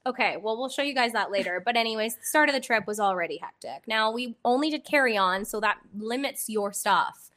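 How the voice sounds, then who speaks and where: A hoarse woman chatting on her podcast, her voice slightly phlegmy from a cold. Her tone is bright and sits a touch high, and she talks in a bubbly, giggly way. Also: expressive. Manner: bubbly, chatty, quick to laugh. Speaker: podcast host. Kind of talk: casual chat.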